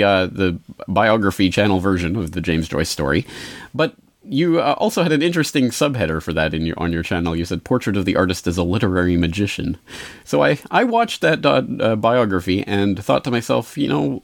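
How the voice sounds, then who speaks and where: A man's voice: on the low side, no echo. A man hosting a podcast, talking in a rambling, conversational way with many fillers. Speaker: podcast host.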